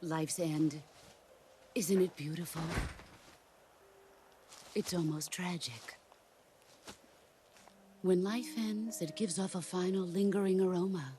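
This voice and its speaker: An actress playing a stern, middle-aged veteran soldier and mentor, speaking in a calm, measured, solemn way in a game cutscene. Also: female voice, on the low side, a little hiss or hum.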